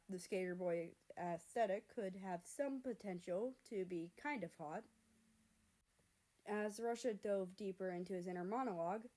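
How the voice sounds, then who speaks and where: A woman reading fan fiction aloud as an expressive storyteller. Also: mid-range voice.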